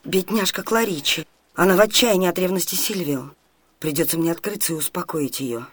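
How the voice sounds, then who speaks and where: A woman playing an imperious, hot-tempered young lady disguised as a gentleman in a comic radio play, commanding in manner. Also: lowish voice, a little fast, slightly rough. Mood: concerned. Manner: commanding, sharp. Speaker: actor. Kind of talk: comic radio theatre acting.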